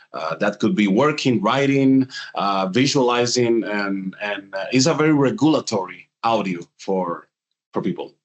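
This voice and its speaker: A man in his forties from the Dominican Republic with a Dominican Spanish accent, a guest in an interview who explains things with many pauses and restarts. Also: mid-range voice. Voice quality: hesitant, many fillers and restarts. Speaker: guest, voiceover artist and music producer. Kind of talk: casual interview talk.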